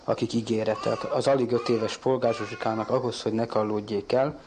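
A man, the girl's father, speaking in a formal, deliberate way in an archival radio interview. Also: lowish voice, little pitch movement, noisy background. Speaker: father of the girl.

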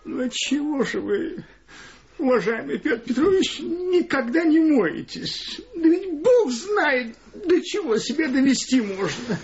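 An actor playing a small, thin, refined and fastidious flute player in a radio play, polite and fussy. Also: male voice, very high-pitched, sing-song, highs cut off, heavily acted. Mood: prim, puzzled.

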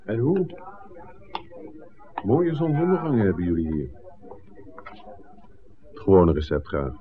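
A man's voice: deep voice, wildly swooping pitch, unhurried, a crowd in the background, full character voice. An actor playing a worldly, principled man with a wry, bantering way, teasing his friend in a 1960s Dutch radio drama. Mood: friendly, confiding. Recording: muffled, noisy old radio drama recording.

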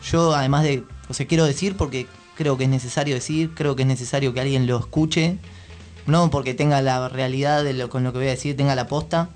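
A man's voice: mid-range voice, a little mellow. A man with a Rioplatense accent interviewing casually, searching for his words as he argues a point. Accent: Rioplatense Spanish. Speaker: radio interviewer. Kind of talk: casual chat.

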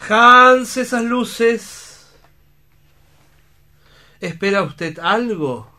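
Learned doctor, slow and measured. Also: male voice, extremely high pitch, animated, bright, forceful tone, heavily acted. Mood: probing. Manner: deliberate, lecturing. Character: learned, measured male doctor, gravely analytical. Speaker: radio drama actor. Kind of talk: theatrical radio play acting.

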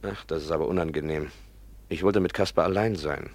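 An actor playing an earnest, principled professor and teacher in a radio play. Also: male voice, extremely low pitch, brisk, darkish tone. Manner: earnest, reads aloud from an official report. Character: earnest, principled male schoolteacher.

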